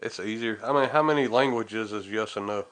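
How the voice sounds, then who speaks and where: An American man with a notably bright, forceful edge to his fairly low voice. He speaks as a livestream co-host, chipping in casually. His wording is informal and everyday. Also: a little fast. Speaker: co-host, works in fire service. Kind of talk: casual chat.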